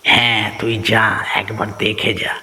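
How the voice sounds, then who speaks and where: A man's voice: on the low side, level tone, a little slow, pushed and bright. A man voicing a chatty, concerned village friend who gives advice in a cartoon. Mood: friendly, advising. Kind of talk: Bengali cartoon voice acting.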